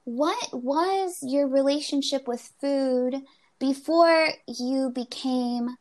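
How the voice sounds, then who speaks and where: Slow-talking higher-voiced woman host, lots of ums, giggles. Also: darkish tone. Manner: hesitant, many ums, giggly. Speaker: interview host. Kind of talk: conversational interview.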